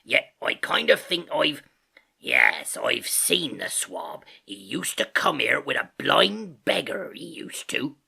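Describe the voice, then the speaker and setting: A man voicing a one-legged pirate landlord in an audiobook, hearty, sly and blustering, with rough, breathy, salty sailor talk. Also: mid-range voice, sing-song, measured pace, sharp tone, heavily acted. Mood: excited, blustering. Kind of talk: audiobook character acting.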